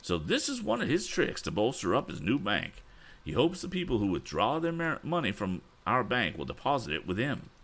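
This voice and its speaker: A man voicing an excitable, fussy older gentleman who exclaims in alarm, reading a storybook aloud. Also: on the high side, moderate pace, gravelly, pitched above the performer's own voice, full character voice. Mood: indignant. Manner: exclaiming, flustered.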